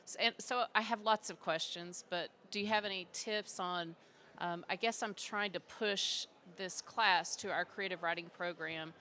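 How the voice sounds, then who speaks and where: A woman's voice: on the low side, moderate pace, bright, forceful tone. A woman who teaches at a university, casually and somewhat tentatively asking a question from the audience.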